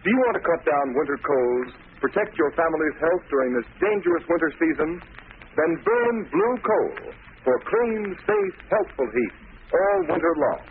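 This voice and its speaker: A man announces in grand 1940s radio style, high-pitched, emphatic and formal. The old recording is tinny with faint hiss. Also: very animated, moderate pace.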